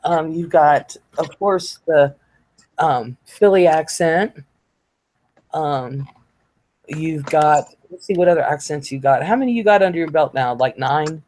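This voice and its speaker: A woman's voice: low-pitched, measured pace, a little mellow. A woman hosting a livestream in casual chat, chatty and laughing, with many fillers and free swearing. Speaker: livestream host.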